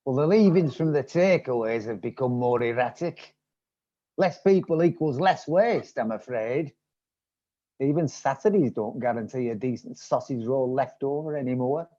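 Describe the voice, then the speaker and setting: A man playing a pompous, genteel old gentleman creature with old-fashioned phrasing in a comedy audio drama. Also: mid-range voice, normal speed, lightly acted. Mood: genteel, proud. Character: courtly, pompous old male ghost, later a gentlemanly pigeon. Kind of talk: comedy audio drama, mock podcast interview. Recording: muffled, echoey online call recording.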